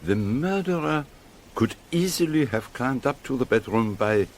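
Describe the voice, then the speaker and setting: An actor playing a courteous, shrewd, theatrical middle-aged detective with a French-speaking Belgian accent in a radio drama. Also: male voice, medium pitch, animated, measured pace, a little hiss or hum, close to an everyday voice. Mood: musing, shrewd.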